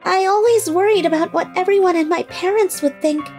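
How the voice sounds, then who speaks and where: Very high-pitched girlish woman playing a teenage schoolgirl. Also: fairly quick, darkish tone. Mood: shy, earnest. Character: earnest shy teenage high school girl, later playful young bride. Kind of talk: dubbed manga story acting. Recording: music under the voice.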